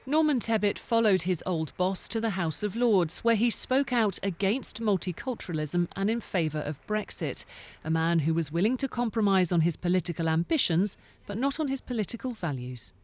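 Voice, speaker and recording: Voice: mid-range voice, expressive, normal speed. A reporter reading a scripted obituary narration for a television news report.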